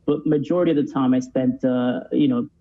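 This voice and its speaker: A man's voice: mid-range voice, fairly even intonation, a little fast. Podcast guest: man, thirties, earnest and conversational, slightly dull recording. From born in Cuba, moved to the USA as a child. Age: 30s. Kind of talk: casual conversational interview.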